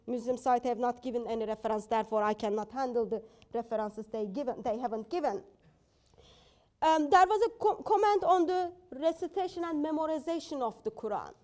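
High-voiced woman debating, non-native English. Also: darkish tone. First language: not English. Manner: assertive, challenging, non-native phrasing. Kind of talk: live debate rebuttal.

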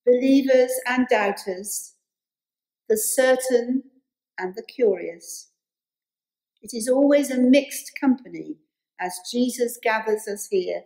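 An older English woman, a priest, leading a scripted church welcome and prayer in measured, deliberate phrases. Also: a little high, warm tone. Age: 60s or older. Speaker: Anglican priest leading worship. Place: inside a stone church. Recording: roomy church echo.

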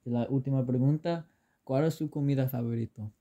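Young man, very dark soft-edged tone. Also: medium pitch, brisk. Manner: hesitant, careful learner's Spanish. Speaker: interviewer. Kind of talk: simple interview questions.

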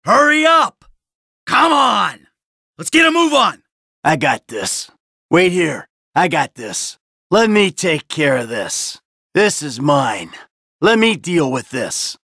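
A man's voice: on the high side, big pitch swings, takes it slowly, creaky, pushed and bright, no echo, clean studio sound, exaggerated voice. A man playing a cynical, short-tempered middle-aged American criminal in a video game, with a blunt, sardonic tough-guy delivery. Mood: angry, urgent, then dry, menacing.

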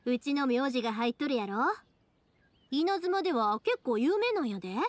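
A woman voicing a cheerful, big-sisterly young fireworks maker in an anime game, with a Kansai accent and a lively, reassuring, colloquial way of talking. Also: sits high, normal speed. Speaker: video game character voice actor. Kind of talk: anime-style game dialogue.

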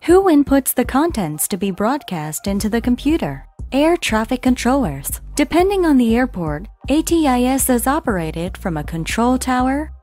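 High, lively woman narrating a lesson. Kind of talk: scripted educational narration.

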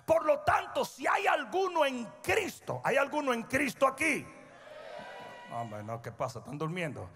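A middle-aged male pastor preaching a sermon on a large church stage, swinging from hushed asides to shouted peaks and calling on the crowd to answer. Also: extremely high pitch, very animated, unhurried, slightly rough. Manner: prompts the crowd for responses. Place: large church stage before a seated audience. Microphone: handheld microphone. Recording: long hall reverb with faint music.

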